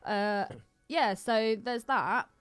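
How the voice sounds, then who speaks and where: A woman's voice: a little high, extremely slow, fairly bright tone, smooth, no room sound, faint music behind. A woman co-hosting a podcast, chatting casually about a film in a blunt, critical way. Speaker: podcast co-host. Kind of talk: casual film commentary chat.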